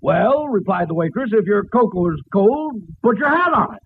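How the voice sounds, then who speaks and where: Irritable self-important joker, high creaky voice, testy, 1940s radio comedy, thin noisy recording. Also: male voice, sing-song, moderate pace, phone-quality sound. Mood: determined storytelling. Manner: testy, laboured storytelling. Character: irritable, self-important would-be joke teller at lodge party.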